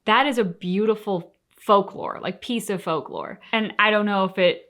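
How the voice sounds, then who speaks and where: A woman's voice: medium pitch, normal speed, fairly bright tone. A woman co-hosting a reaction show, talking casually and reflectively in an earnest, thinking-aloud manner.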